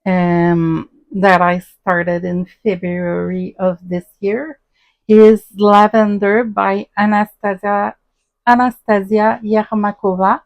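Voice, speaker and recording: A French-Canadian woman speaking English as a second language, slow and halting, pausing often on ums and uhs. Her voice sits at an ordinary middle pitch. The recording is a little dull and lo-fi. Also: little pitch movement. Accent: Québécois French. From Quebec, Canada. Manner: halting, many ums and uhs, soft-spoken. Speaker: crafting channel host. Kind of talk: casual crafting vlog.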